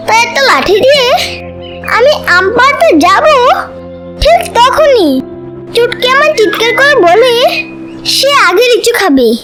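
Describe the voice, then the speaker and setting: A tiny cartoon animal kid, extremely high and squeaky. The voice swoops about, whiny, insistent and excitable, with music clearly behind it. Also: female voice. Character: squabbling whiny young animal child, eager and excitable.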